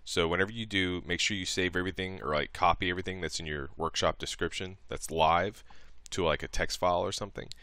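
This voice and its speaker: A man with an extremely low, bright voice walks through software steps as a tutorial presenter. He explains things informally, one step at a time, in a casual narration. The sound is clean and dry, close to the listener. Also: steady tone, fast talker, hardly any pauses, dry recording.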